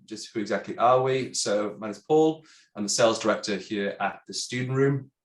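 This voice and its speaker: A congested man with a chatty, halting manner, a sales director presenting a webinar. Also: lowish voice. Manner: chatty, many ums and restarts. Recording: roomy echo.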